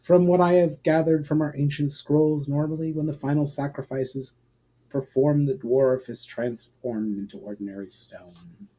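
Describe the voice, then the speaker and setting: A man voicing a proud, calm female elf leader in a fantasy storybook, with stately, measured and formal speech. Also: a little high, breathy edge, rough recording. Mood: solemn.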